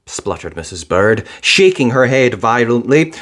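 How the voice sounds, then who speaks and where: A storyteller giving an expressive children's read-aloud narration. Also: medium pitch, unhurried.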